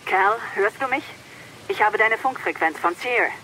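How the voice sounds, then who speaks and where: An actress playing an urgent, battle-worn resistance fighter in a game audio drama, brisk, over a radio link. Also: female voice, medium pitch, expressive, pushed and bright, rough recording. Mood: urgent, weary. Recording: radio transmission with faint noise.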